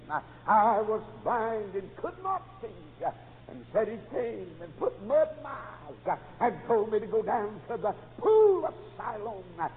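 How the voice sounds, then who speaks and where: A man preaching a fervent revival sermon, telling stories with acted dialogue and punctuating nearly every phrase with "uh.". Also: high voice. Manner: exclamatory, storytelling delivery. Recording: dull lo-fi tape in reverberant hall, faint noise.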